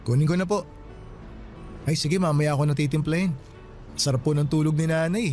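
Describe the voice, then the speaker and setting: Radio drama role: male actor as courteous Filipino night guard, fairly high voice, respectful and friendly, faint music underneath. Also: fairly quick, darkish tone, lightly acted. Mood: friendly, curious. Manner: respectful, deferential.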